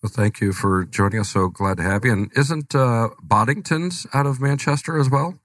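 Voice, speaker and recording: A male radio host with a cold, talking in a relaxed, rambling way with many fillers in a studio. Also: medium pitch, moderate pace, no echo. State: has a cold. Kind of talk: casual radio talk.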